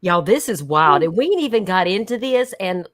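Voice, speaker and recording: A woman's voice: fairly low pitch, big pitch swings, normal speed, a bit bright, no room sound, clean studio sound. A woman in casual reaction chat, exclaiming in a mock-scandalized way as a panelist.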